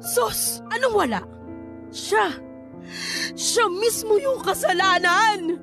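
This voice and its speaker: A woman's voice: very high-pitched, slow, over music, full character voice. An actress playing an abusive, drunken, bitter mother in a Filipino radio drama, scolding and belittling. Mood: furious, bitter. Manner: scolding, belittling, hot-tempered.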